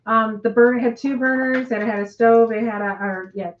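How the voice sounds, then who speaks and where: Hoarse woman chatting with ums. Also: on the high side, fairly even intonation, fairly quick, dull audio. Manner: rambling, many ums and restarts. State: hoarse, losing her voice. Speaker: co-host of a live chat. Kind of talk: casual live chat.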